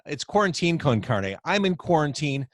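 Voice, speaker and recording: A man's voice: a little high, normal speed, no room sound, clean studio sound. A man hosting a casual video chat podcast from home, with a jokey, playful manner. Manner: lively, jokey. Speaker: podcast host. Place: at home in quarantine. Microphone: video call.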